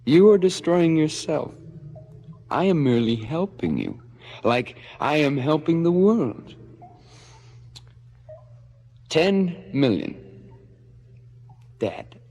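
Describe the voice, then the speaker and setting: An actor playing a rich, ruthless, vengeful son in a stylized radio drama, cold, mocking and sure of himself. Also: male voice, a little high, sing-song, slow, long pauses, dark tone, full character voice.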